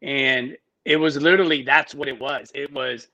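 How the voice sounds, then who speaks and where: Bright-voiced man telling his story. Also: mid-range voice, steady tone, poor audio. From Miami, United States. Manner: halting, restarts phrases often. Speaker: podcast guest. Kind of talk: casual interview storytelling.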